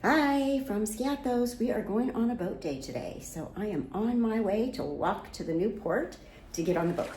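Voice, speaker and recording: A woman's voice: medium pitch, lively intonation, normal speed, noisy background. An American woman in her fifties talking casually to camera while travelling, rambling and correcting herself as she goes. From United States. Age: 50s. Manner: chatty, rambling, self-correcting. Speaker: travel vlogger. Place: outdoors and indoors on a Greek island.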